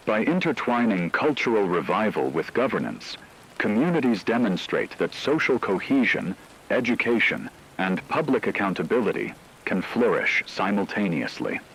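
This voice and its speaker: Male radio anchor played by a man for apocalyptic broadcast drama: low voice, measured and earnest, faint hiss. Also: sing-song, a bit bright, rough recording. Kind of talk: scripted radio news narration. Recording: hissy radio with rain-like noise.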